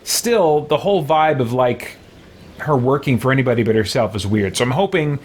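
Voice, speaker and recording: A man's voice: mid-range voice, lively intonation, moderate pace, a little mellow, slightly rough. A man of around forty giving casual video game commentary, thinking aloud as he goes. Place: small home room lined with shelves.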